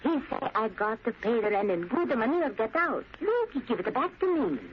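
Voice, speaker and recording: Radio drama tenant: fretful, grateful Italian immigrant, thick broken English, lively high delivery. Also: female voice, fairly quick. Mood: anxious. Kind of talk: 1930s radio acting. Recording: noisy, muffled 1930s radio recording.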